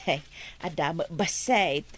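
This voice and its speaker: An actress playing a sharp-tongued wife and mother who nags and mocks. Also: deep voice, slow pace, breathy edge, heavily acted. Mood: earnest. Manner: nagging, sarcastic.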